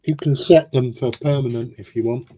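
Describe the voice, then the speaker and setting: Mellow man explaining a model build. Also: medium pitch, fairly even intonation, normal speed, rough recording. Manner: matter-of-fact, explains his building steps. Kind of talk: casual chat while model building.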